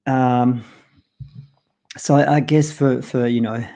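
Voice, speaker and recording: A man's voice: medium pitch, steady tone, slow, creaky, dark tone. A man with an Australian accent casually explaining nutrition on a live stream, loose and full of ums and you-knows. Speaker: co-host presenting on nutrition.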